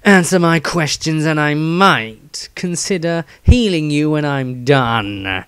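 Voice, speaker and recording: Man playing a scornful adventurer, gruff, threatening, slow drawl, fantasy audiobook, close dry recording. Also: fairly high pitch, expressive, fairly bright tone, no room sound. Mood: menacing.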